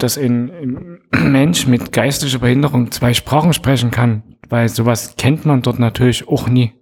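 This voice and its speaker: A man hosting a podcast discussion, speaking haltingly with many fillers and restarts. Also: medium pitch, a little mellow, slightly rough. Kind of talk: casual discussion.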